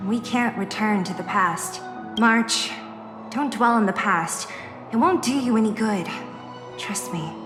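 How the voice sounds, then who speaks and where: A woman's voice: mid-range voice, measured pace, lightly acted. A woman voicing a guarded, blunt young female fighter in a video game, speaking directly and plainly. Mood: guarded, earnest. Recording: echoey hall reverb, music behind.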